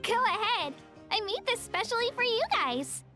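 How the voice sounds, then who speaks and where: A woman's voice: very high-pitched, animated, normal speed, pushed and bright, exaggerated voice. A woman voicing a kind, earnest young girl in a video game, with a sweet childlike manner. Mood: earnest, hopeful. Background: music.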